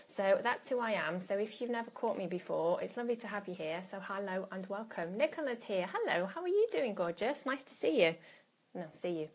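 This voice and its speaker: A woman's voice: mid-range voice, expressive, brisk, breathy edge. A woman in her thirties chats to her viewers on a live stream, greeting them by name as they join. She talks casually and often restarts her phrases, recorded on a phone or laptop at home. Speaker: coach hosting a live stream.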